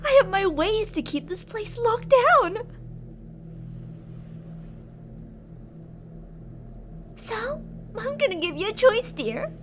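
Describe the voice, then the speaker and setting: Casting: actress as doting, menacing yandere woman for ASMR drama; high register, very animated, theatrical delivery. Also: a little slow, a little hiss or hum, full character voice. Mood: coaxing, threatening. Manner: sugary endearments, menacing, theatrical. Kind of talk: ASMR roleplay monologue.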